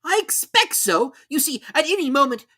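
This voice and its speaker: A self-important owl from a children's storybook, acted out by a narrator. The voice is pitched up and swings widely, with a formal, long-winded, stuffy delivery. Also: very animated, normal speed, pushed and bright, full character voice. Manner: pompous, wordy, formal. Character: pompous, long-winded owl using grand words.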